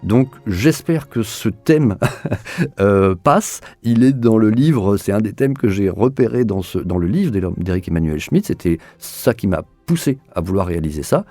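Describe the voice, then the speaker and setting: A man's voice: lowish voice, animated, a little slow, mellow, dry recording, faint music behind. A man being interviewed as an audio drama director, answering spontaneously in an earnest, searching way, often repeating words as he feels for the next one.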